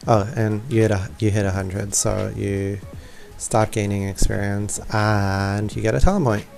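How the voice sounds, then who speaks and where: A man's voice: fairly low pitch, steady tone, measured pace, gravelly, darkish tone, soft background music. A young man giving casual, offhand, self-deprecating gameplay commentary. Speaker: gaming video creator.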